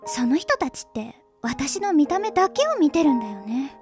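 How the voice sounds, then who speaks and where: A woman's voice: high-pitched, very animated, normal speed, darkish tone. A woman playing a pretty, self-absorbed teenage schoolgirl in a Japanese audio drama, speaking in a coy, teasing, sweetly girlish way. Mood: bitter. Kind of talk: Japanese anime-style audio drama acting. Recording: background music under the voice.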